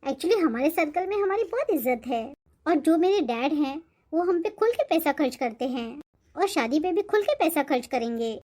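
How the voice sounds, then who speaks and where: A spoiled young cartoon heiress performed by a woman with a very high, girlish voice. She speaks with haughty, coquettish confidence. She boasts and makes demands as if she expects to get her way. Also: animated, moderate pace, a little mellow. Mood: demanding, haughty. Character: spoiled, boastful rich young bride-to-be Radhika. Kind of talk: Hindi cartoon moral-story voice acting.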